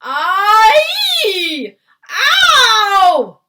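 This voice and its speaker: A woman's voice: very high voice, huge pitch swings, extremely slow, pushed and bright, pitched above the performer's own voice, full character voice. A woman voicing a huge man-eating storybook giant, terrified and screeching as he begs for mercy in broken grammar. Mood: panicked screaming. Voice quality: screeching, bellowing.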